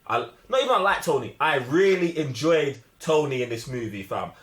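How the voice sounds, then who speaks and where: A man's voice: mid-range voice, expressive, normal speed, fairly bright tone. A young man with a London accent chatting casually about films in heavy slang, opinionated and emphatic, often repeating himself for effect. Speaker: movie review podcast host.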